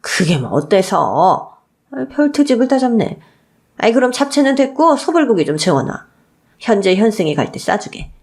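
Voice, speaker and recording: A woman's voice: on the high side, sing-song, normal speed, darkish tone. A woman voicing a spiteful old mother-in-law who scolds and belittles with exasperated exclamations in a radio story drama. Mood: dismissive. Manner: scolding, contemptuous, sighing exclamations.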